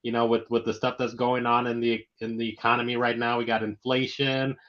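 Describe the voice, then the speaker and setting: A man hosting a casual interview, speaking informally and haltingly, often repeating his words. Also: medium pitch, flat delivery, fairly bright tone. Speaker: interviewer, show host. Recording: dull lo-fi online audio.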